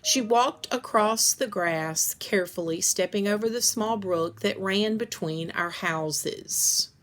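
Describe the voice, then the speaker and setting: Unhurried woman reading a story. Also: mid-range voice, fairly bright tone. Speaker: reader reading a children's book aloud. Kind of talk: scripted narration, read-aloud storytelling.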